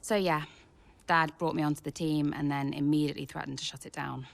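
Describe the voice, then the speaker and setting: A woman's voice: sits low, fairly even intonation, brisk, creaky. A woman playing a blunt, defiant young racing driver in a video game cutscene, with a curt, confrontational manner. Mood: resentful, candid.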